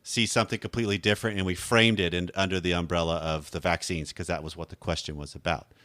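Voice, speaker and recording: Deep-voiced, slightly hoarse male podcast host. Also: fairly even intonation, fast, a bit bright, no echo. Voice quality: deep radio voice. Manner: conversational, rambling, self-correcting. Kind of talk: casual podcast talk.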